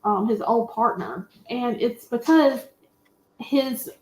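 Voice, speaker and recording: A woman's voice: fairly high pitch, takes it slowly, darkish tone, a little hiss or hum. A woman panelist giving a candid, opinionated and colloquial film recap in casual chat. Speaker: panelist on a movie discussion show. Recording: roomy, echoey sound.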